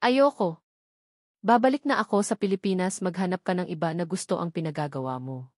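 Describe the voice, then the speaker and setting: A woman's voice: lowish voice, brisk, a little mellow, no echo, studio quality, lightly acted. A woman narrator voicing an anxious but defiant heroine protesting to her lover in an audio novel.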